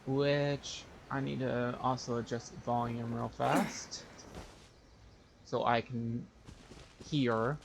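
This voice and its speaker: Male game streamer, gravelly creak, casual and halting delivery, faint noise behind. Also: mid-range voice, fairly even intonation. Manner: halting, muttering to himself. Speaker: livestreamer. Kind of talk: casual gaming stream chat.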